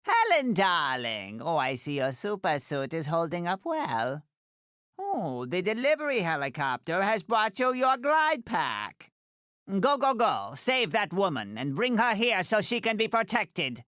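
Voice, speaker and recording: A man's voice: sits high, wildly swooping pitch, unhurried, fairly bright tone, dry recording, clean studio sound, exaggerated voice. A man playing a tiny, imperious middle-aged female fashion designer in a video game, haughty and dramatic, with clipped, fondly condescending delivery. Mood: gracious, doting, then urgent, alarmed. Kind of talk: video game character voice lines.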